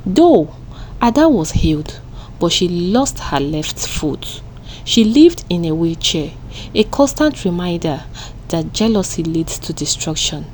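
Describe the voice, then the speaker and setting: A woman's voice: lowish voice, animated, unhurried, a little mellow, slight background noise, low-quality recording. A woman storyteller narrating a scripted folktale in a dramatic style. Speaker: storyteller, channel host.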